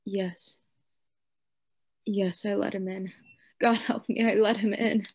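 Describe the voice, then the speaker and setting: A woman playing a tormented madman in a radio play, raving and pleading in halting, repetitive phrases. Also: mid-range voice, level tone, darkish tone. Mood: remorseful. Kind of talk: theatrical radio play acting.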